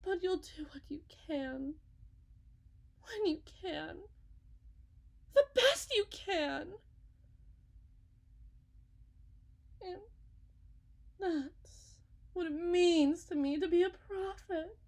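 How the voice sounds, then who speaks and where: An unsettling female faith healer, acted by a woman in an audio roleplay, with a very high voice and a slow delivery. She preaches sweetly and theatrically, pleading as a self-styled prophet who is utterly fervent. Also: vocal fry, heavily acted. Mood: devout, earnest. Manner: theatrical, pleading, sing-song preaching with drawn-out words and sudden hushes. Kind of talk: dramatic ASMR roleplay monologue.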